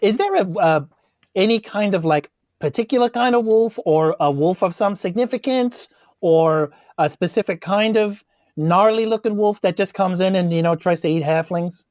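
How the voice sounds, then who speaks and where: A woman's voice: mid-range voice, normal speed, darkish tone, no room sound, studio quality. A woman improvising casually as a tabletop game player, in a hesitant, rambling way. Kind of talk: casual in-character improvised chat.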